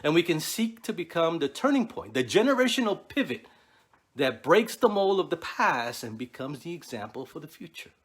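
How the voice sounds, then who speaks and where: Middle-aged male chaplain, earnest preacher cadence, lively rising and falling delivery. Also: a little high, normal speed, husky. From New York City. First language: Spanish. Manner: earnest, preacherly, rhythmic lists. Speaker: keynote speaker, Navy chaplain. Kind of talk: prepared speech.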